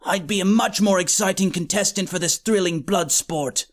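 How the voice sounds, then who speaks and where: A man's voice: sits high, normal speed, close to an everyday voice. A man voicing an eager, impatient young boy in an audiobook, whiny and insistent. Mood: sulky. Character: eager impatient tiny schoolboy, pleading and stubborn. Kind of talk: audiobook character acting.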